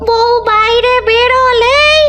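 A woman playing a lonely, lovesick female ghost in a comedy cartoon, pleading and scheming in a drawn-out sing-song. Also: very high-pitched, steady tone, music in the background. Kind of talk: Bengali comedy cartoon voice acting.

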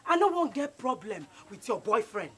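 An actress playing a loud, scolding young woman with a Nigerian accent. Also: a little high, big pitch swings, moderate pace, husky, heavily acted. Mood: angry. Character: fiery young woman named Lucy, quarrelsome.

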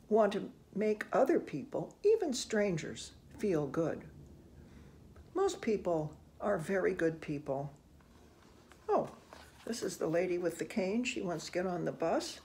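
An older reader with a gravelly, creaky voice shares a picture book with children. The delivery is gentle and unhurried, with soft musing asides. There is faint noise in the small room. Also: medium pitch, sing-song. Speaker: children's read-aloud host. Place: small room indoors at home.

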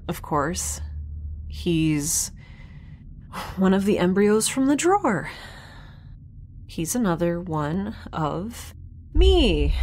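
An actress playing a flustered, sarcastic young woman scientist in a science fiction audio drama, with a halting, rambling, wry delivery. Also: fairly low pitch, slight background noise. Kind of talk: naturalistic audio drama dialogue.

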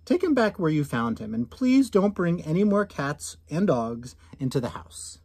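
A man's voice: fairly high pitch, very animated, normal speed, a little mellow, slightly rough, dry recording, heavily acted. A firm storybook mother, read aloud by a man, refuses with emphatic, explanatory scolding. The key words land heavily, and the sound is dry. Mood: firm, exasperated.

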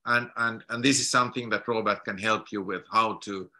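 A middle-aged man from Finland talks over a video call from a home study lined with books. His voice is strikingly bright and his delivery halting, with many restarts and repeated words as he explains. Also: on the low side, steady tone. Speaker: guest lecturer.

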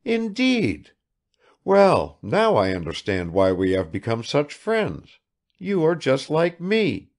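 Man as aristocratic young woman, high, slow, creaky, languid, proud, world-weary, audiobook dialogue. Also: huge pitch swings, heavily acted. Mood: amused, searching. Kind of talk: dramatised literary audiobook dialogue.

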